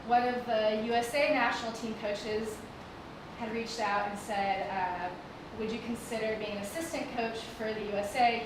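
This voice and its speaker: Woman, chatty live talk, lots of fillers, fairly high voice, echoing hall picked up from a distance. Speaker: guest presenter. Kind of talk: casual live talk to an audience. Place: stage in a performing arts hall. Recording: echoey hall from far off, noisy. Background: audible background noise.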